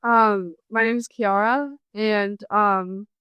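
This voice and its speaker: Young woman, even smooth voice. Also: medium pitch, slow, dry recording, lo-fi sound. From Spain. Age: young adult. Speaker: researcher guest. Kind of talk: self-introduction.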